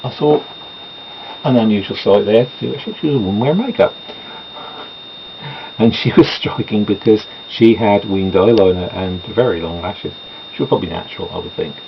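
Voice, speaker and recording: Rambling man, gravelly voice. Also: on the low side, moderate pace. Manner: chatty, meandering, self-deprecating asides. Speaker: vlogger doing makeup. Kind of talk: rambling casual chat. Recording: dull lo-fi home recording with noise.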